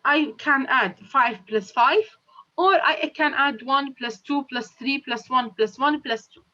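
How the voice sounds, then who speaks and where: Woman, math teacher, questions her class, high-ish voice, lo-fi classroom sound. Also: pushed and bright. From Jordan. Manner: slow, repetitive questioning of students. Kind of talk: classroom lesson.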